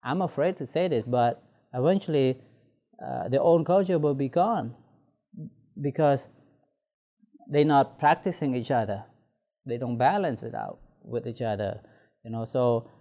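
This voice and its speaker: A man speaking in a measured, explanatory way, adding "you know". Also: mid-range voice, warm tone. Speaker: interviewee. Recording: dull lo-fi sound.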